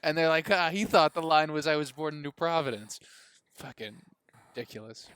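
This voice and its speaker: A man's voice: a little high, lively intonation, brisk, a bit bright, clear, resonant tone, no echo. A man co-hosting a podcast in casual chat, rambling, joking and cutting himself off mid-sentence. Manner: rambling, self-interrupting, joking. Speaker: podcast co-host.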